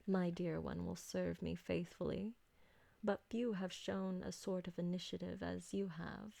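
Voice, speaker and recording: Woman acting a creaky-voiced, refined vampire noblewoman. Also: fairly low pitch, fairly even intonation, mellow, dry recording, heavily acted. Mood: tender, grateful. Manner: formal, refined, deliberate diction. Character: elegant possessive female vampire noble, doting on her servant. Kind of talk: scripted roleplay ASMR audio drama.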